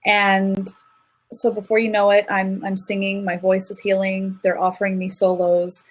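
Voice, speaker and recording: A woman's voice: medium pitch, completely flat delivery, moderate pace. A woman speaking as a guest in an interview, telling a personal story in an earnest, reflective way with frequent restarts. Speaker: guest, singer.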